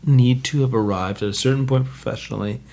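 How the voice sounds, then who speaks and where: A man's voice: mid-range voice, quick pace, vocal fry, darkish tone. A man in his thirties, a guest drummer, talking through his thoughts in casual podcast conversation, rambling and correcting himself as he goes. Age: 30s.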